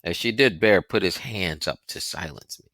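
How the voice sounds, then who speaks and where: A man's voice: deep voice, moderate pace, fairly bright tone, dry recording. A man reading a novel aloud as a dramatic, scripted narration. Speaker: audiobook reader.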